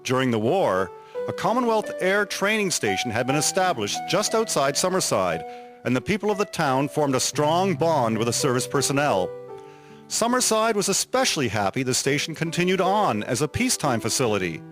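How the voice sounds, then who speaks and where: A man's voice: fairly high pitch, sing-song, moderate pace, over music. A man reading scripted historical narration in a formal documentary style.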